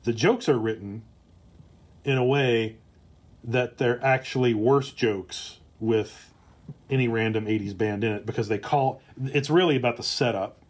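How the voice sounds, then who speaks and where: Slow, halting man chatting on a livestream. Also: medium pitch. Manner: halting, hesitant delivery with drawn-out pauses. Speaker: streamer and comedy writer presenting a script. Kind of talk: casual livestream chat.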